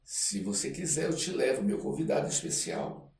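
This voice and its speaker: An older man with a Brazilian accent tells stories conversationally as an interview guest, in an expressive, reflective way, often checking in with tag questions. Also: on the high side, normal speed. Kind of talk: conversational storytelling. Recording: roomy, distant mic, faint noise.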